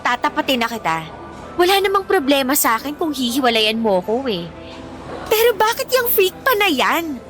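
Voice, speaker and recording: A woman's voice: sits high, big pitch swings, moderate pace, a bit bright, soft background music. A woman with a Filipino accent playing a spiteful rich teenage bully in a radio drama, haughty and taunting. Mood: scornful, taunting.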